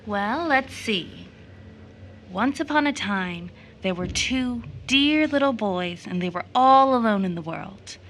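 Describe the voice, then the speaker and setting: A bright, clear-edged young woman in an audio drama. She is motherly and playful, with an expressive, tender way of storytelling at a middle pitch. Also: slow, slight background noise.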